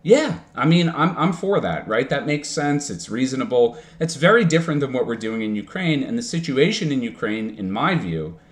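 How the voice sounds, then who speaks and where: A man's voice: medium pitch, sing-song, normal speed, hardly any pauses. A young man from the United States arguing heatedly in an online debate, swearing often and cutting in on the other speaker. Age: young adult. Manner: profane, combative, interrupting.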